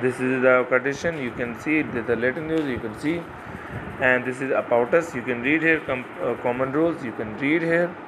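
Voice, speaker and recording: Halting man, noisy phone recording. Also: medium pitch. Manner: halting, repeats "you can see here" and "so guys". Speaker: crypto site walkthrough narrator. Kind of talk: screen-recorded tutorial voice-over.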